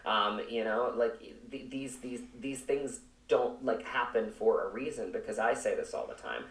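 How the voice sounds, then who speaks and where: A man's voice: medium pitch, normal speed, a bit bright. A man speaking as an actor in an interview, in an earnest, conversational style, reflective and emphatic, circling back over his phrases. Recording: roomy echo, distant microphone.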